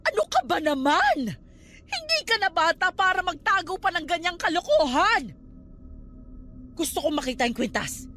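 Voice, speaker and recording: A stern Filipino aunt in a radio drama, with a high, sharply swooping voice. She scolds and warns with emphatic, superstitious intensity over background music. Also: fairly bright tone. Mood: scolding, alarmed.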